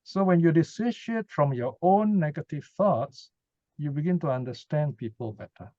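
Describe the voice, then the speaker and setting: Man, Buddhist teacher, soft-edged mellow tone, conversational Q&A, frequent tag questions, dull lo-fi sound. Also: fairly high pitch, expressive, normal speed, dry recording. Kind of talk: informal teaching talk answering audience questions.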